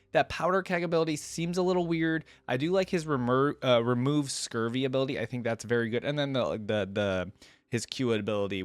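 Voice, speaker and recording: A man's voice: medium pitch, dry recording. A man giving casual reaction commentary as a host, conversational and thinking aloud.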